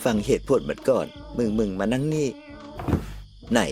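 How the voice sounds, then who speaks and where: Man playing a patient, mid-pitched cartoon ghost friend. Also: normal speed, dark tone, faint music behind, close to an everyday voice. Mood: concerned, placating. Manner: placating, patient, conversational. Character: calm, reasonable male ghost friend, mediating and explaining. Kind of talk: cartoon comedy dialogue.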